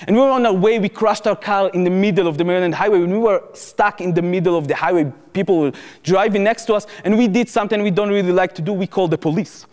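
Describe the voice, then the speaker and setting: A young man with an Israeli accent giving a public lecture as personal storytelling, emphatic, repeating phrases and pausing for drama. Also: sits high, darkish tone. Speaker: activist lecturer and former soldier. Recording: echoey hall with long reverb.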